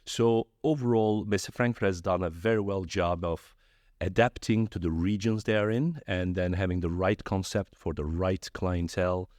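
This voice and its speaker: A middle-aged man from Turkey, a guest in a podcast interview, telling stories in a friendly, animated way with frequent restarts. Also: deep voice, moderate pace, no room sound, clean studio sound. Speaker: guest, trade fair executive. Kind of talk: conversational interview.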